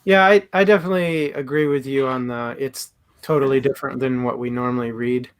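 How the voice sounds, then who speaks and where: A man's voice: medium pitch, steady tone, a little fast, clean tone. A young man co-hosting a casual book talk, hesitant with many fillers and self-mocking humor. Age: young adult. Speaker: book podcast co-host.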